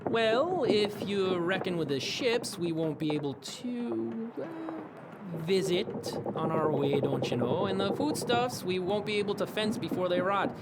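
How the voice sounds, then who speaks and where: A man's voice: high voice, expressive, unhurried, heavy background noise. A man playing a mild, canny pirate bookkeeper who talks in a folksy, hesitant, drily understated way in an audio drama. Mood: matter-of-fact. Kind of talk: fantasy audio drama acting. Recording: loud ship and water ambience.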